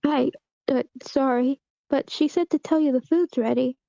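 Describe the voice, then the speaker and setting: A woman plays a polite, curious little girl. The voice is high and very soft-edged, with a hesitant, questioning delivery. It is a script read on a slightly muffled, dry mic. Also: fairly even intonation, moderate pace, vocal fry, dry recording. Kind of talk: screenplay table read, acting.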